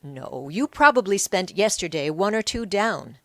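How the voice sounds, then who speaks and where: A woman playing a shrewd, measured male Egyptian sleuth. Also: mid-range voice, very animated, a bit bright, no room sound, studio quality. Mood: confident, revealing. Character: shrewd male ancient Egyptian slave investigator posing as fortune-teller. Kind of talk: audiobook character acting.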